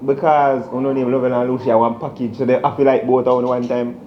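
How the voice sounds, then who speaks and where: A man's voice: medium pitch, level tone, quick pace. A Jamaican man talking casually in Patois as he hosts and mediates a vlog argument. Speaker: vlogger hosting a relationship prank. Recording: muffled, noisy home video audio.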